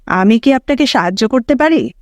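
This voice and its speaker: A middle-aged gentleman from an audio story, voiced by a woman narrator. He speaks courteously and with friendly insistence, a little forward with strangers. The sound is dry and close. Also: a little high, expressive, normal speed, no echo, lightly acted. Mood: courteous, insistent. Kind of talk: audiobook character dialogue.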